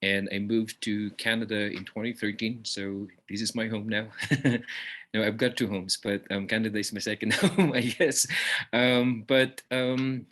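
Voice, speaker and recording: A man's voice: on the low side, steady tone, unhurried, fairly bright tone. A man with a Filipino accent speaking as a business coach and guest, giving an informal motivational talk on a video call. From Philippines.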